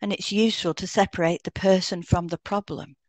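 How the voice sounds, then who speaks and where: A woman's voice: on the low side, fairly even intonation, fairly quick, a little mellow, no echo. Woman explaining in a dry, close recording. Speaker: podcast co-host, hypnotherapist. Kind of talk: scripted educational podcast read aloud in turns.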